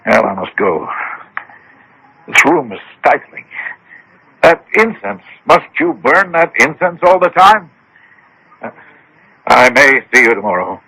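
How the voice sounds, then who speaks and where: A man's voice: mid-range voice, big pitch swings, unhurried, long pauses, bright, forceful tone, breathy edge, dry recording, heavily acted, poor audio. An actor in a radio drama playing an agitated, hard-headed businessman, insistent and skeptical. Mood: anxious, defiant. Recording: dry old radio broadcast.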